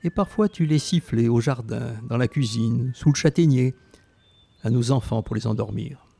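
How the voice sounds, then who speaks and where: Guy as old grandpa remembering the war, soft mellow tone, tender, medium pitch. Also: normal speed, vocal fry, slightly rough. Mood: tender, nostalgic. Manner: tender, reflective. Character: elderly man tenderly remembering his wartime Resistance past. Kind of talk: radio drama narration, reminiscing.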